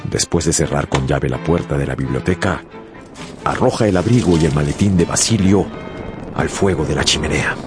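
A man narrating a radio novel dramatically, with deliberate, suspenseful phrasing. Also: rumbling low, creaky.

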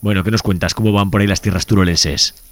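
A man's voice: low voice, fairly even intonation, quick pace, dry recording. A man from Spain hosting a participatory community radio show, interviewing a caller and encouraging him with short acknowledgements. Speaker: radio host.

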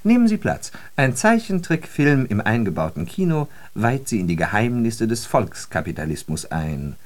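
A man's voice: medium pitch, big pitch swings, moderate pace, lightly acted. A man acting in a radio drama as a worldly, ironic storyteller, speaking with theatrical emphasis. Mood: ironic, urbane. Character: worldly man telling ironic travel parables of New York. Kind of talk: scripted German radio drama monologue.

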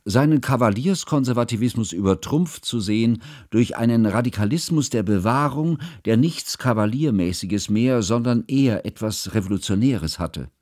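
An actor playing a mild, cultured schoolteacher who narrates his memoir in a radio drama, measured, bookish and reflective. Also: medium pitch, darkish tone, no echo, studio quality, lightly acted. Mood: regretful, reflective.

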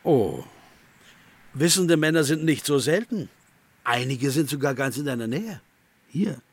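An actor in a radio drama playing a shrunken, sly tempter who poses as a former pupil, speaking with insinuating, reproachful persuasion. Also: male voice, mid-range voice, expressive, a little slow, a little hiss or hum, lightly acted. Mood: coaxing, soothing. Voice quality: husky.

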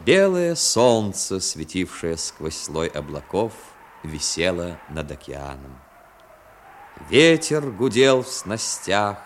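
A man narrating a literary story in a 1960s radio play, expressive and measured. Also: sits low. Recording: 1960s radio broadcast with faint noise.

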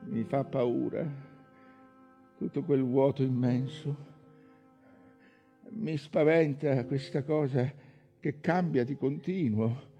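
An actor playing a timid, sorrowful sailor in a hushed, halting, confiding theatrical monologue. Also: male voice, mid-range voice. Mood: wistful fear. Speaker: stage actor. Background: music.

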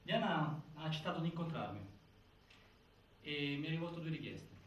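A sober, formal man, played by an actor delivers measured testimony in a stage drama. His slightly high voice sounds distant in an echoey room, over faint noise. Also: animated, highs cut off, lightly acted. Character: sober man reporting a prisoner's requests. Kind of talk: theatrical testimony monologue.

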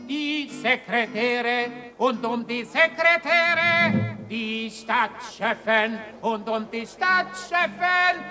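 An actor playing a brash, mocking street ballad singer, half singing and half declaiming in a theatrical 1960s radio play. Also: male voice, very high-pitched, exaggerated voice. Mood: mock solemn. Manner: declamatory, drawn-out phrases between spoken asides.

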